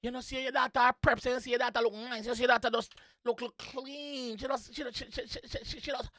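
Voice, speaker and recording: A Jamaican host reacting to music in a casual, excitable, playful way, repeating phrases and singing along. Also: medium pitch, fairly quick, dry recording, studio quality. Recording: music playing in the background.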